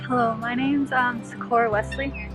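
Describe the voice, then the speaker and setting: An eighteen-year-old with a woman's voice, answering hesitantly with many pauses and ums. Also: a little high, fairly even intonation. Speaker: interviewee. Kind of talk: casual interview answers. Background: background music.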